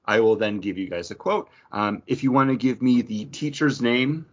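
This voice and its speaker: A man's voice: medium pitch, normal speed. A man hosting a casual trivia quiz, chatting and reading out questions on a lo-fi online call. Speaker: podcast host running a trivia quiz. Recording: dull lo-fi voice call.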